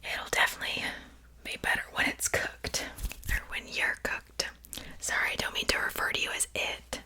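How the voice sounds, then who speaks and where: A performer voicing a chatty witch in a soft, breathy ASMR whisper, gossipy and casually menacing in a roleplay. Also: normal speed. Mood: calm, matter-of-fact. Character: gossipy witch with fae blood, cooking her guest.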